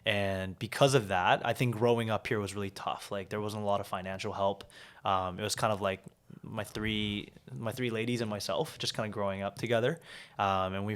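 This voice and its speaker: A young man from South Korea, a podcast guest, talking in a relaxed way with lots of "like" and "um" fillers. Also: lowish voice. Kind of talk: casual interview talk.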